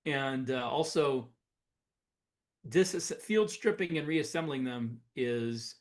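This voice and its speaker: A man's voice: mid-range voice, expressive, slow pace. A man co-hosting a podcast, explaining his opinions in casual conversation. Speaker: podcast co-host.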